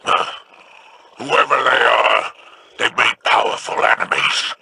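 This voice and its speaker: An actor voicing a sly, scheming male alien crime lord in a video game, gravelly and wry with insinuating remarks. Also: mid-range voice, fairly even intonation, slow, background noise.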